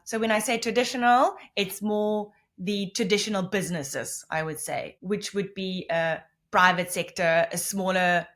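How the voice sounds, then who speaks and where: A woman's voice: mid-range voice, fairly even intonation, measured pace, hardly any pauses, fairly bright tone. Rambling Namibian woman, Afrikaans native. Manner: rambling, self-correcting explanations with many restarts. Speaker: podcast guest. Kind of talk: conversational interview.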